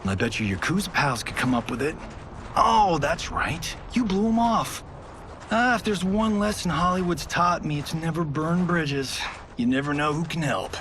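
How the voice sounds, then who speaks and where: A man's voice: a little high, lively intonation, normal speed, a bit bright, slight background noise. A man playing a cocky, wisecracking Hollywood action star in a video game, flippant and teasing. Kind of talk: cinematic video game drama acting.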